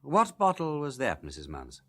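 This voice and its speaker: An actor playing an affable, courteous upper-class English gentleman sleuth with a breezy manner in a radio drama. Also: mid-range voice, wildly swooping pitch, normal speed, full character voice. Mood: tactful, probing.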